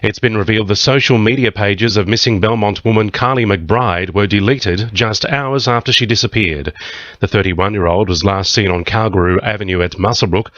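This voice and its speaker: Low-voiced Australian male newsreader. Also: moderate pace, fairly bright tone, dry recording, lo-fi sound. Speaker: news reader. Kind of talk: scripted radio news bulletin.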